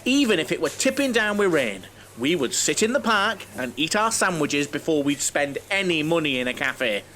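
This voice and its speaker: High-voiced, chatty, naive bachelor. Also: male voice, pushed and bright, a little hiss or hum, heavily acted. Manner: guileless, rambling, oversharing. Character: chatty, naive, lonely middle-aged bachelor, mother-obsessed coach passenger. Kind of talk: audio drama comedy acting.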